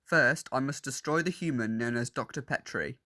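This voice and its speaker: Cold war-machine cyborg male performer, stiff formal delivery at middling pitch, full of hatred for humans, audio drama. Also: normal speed, bright, forceful tone, close to an everyday voice. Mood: menacing, stubborn. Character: cold, hostile cyborg warrior who despises humanity. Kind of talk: fan audio drama acting.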